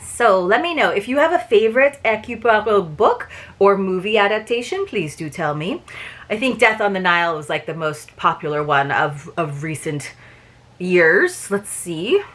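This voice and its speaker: A woman's voice: medium pitch, animated, normal speed, fairly bright tone. A woman chatting casually to viewers as she unboxes and reviews a book subscription box, laughing often.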